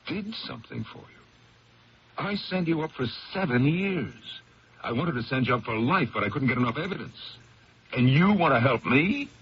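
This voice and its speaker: An actor playing an upright, suspicious district attorney with a firm, blunt, questioning manner in a 1970s radio drama. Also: male voice, mid-range voice, expressive, moderate pace, breathy edge. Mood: incredulous, challenging. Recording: muffled, noisy 1970s radio broadcast.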